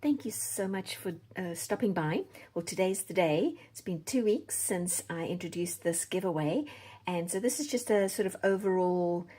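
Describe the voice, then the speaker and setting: A woman's voice: on the low side, animated, moderate pace, dark tone. A South African woman chatting casually to viewers as the host of a craft channel. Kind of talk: casual chat to viewers while doing a giveaway drawing.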